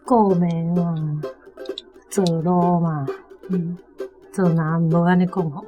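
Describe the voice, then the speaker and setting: A woman's voice: on the low side, steady tone, takes it slowly, muffled recording, over music. A young woman with a Taiwanese accent, a student contestant working out answers aloud in casual talk. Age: young adult.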